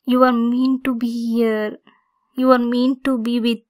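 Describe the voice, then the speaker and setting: Yandere centaur played by a woman for ASMR roleplay: female, high voice, unhurried, clingy and domineering. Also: level tone, darkish tone, close to an everyday voice. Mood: wistful, obsessive. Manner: slow, deliberate, insistent, clingy.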